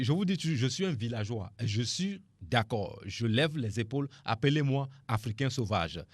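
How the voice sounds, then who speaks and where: A man's voice: mid-range voice, dry recording. A man from Togo speaking as a nutrition guest on a sports radio show, giving emphatic, repetitive advice to listeners with many hesitations. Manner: emphatic, repetitive, preaching tone with frequent fillers. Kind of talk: radio talk, advising listeners.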